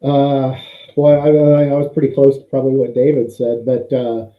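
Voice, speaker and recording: A man's voice: medium pitch, steady tone, moderate pace. Hesitant man on an echoey dull line. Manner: hesitant, many fillers. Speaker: contestant.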